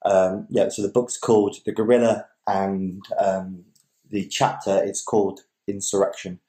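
A man's voice: fairly low pitch, little pitch movement, unhurried, dark tone. A man, reading a literary story aloud at home. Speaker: reader, artist. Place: home dining room, indoors. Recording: echoey room.

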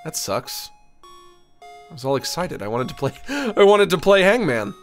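A man streams a video game with casual commentary, reading on-screen text aloud. His pitch swings in a lively way, playfully whiny at times, with faint music behind him. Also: unhurried.

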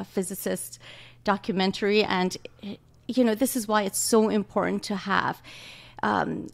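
A woman's voice: mid-range voice, gravelly. A woman in her forties from Iran with a slight Iranian accent, a doctor speaking earnestly as an interview guest into a studio mic. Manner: earnest, warm, laughs often. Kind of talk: casual interview talk. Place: small home studio room. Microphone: large studio mic with headphones.

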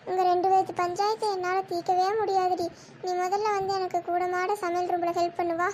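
A performer plays a fed-up cartoon mother in a comedy sketch. She scolds and complains in a high voice, weary of squabbling children. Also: level tone, a little fast, a little mellow, a little hiss or hum. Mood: exasperated.

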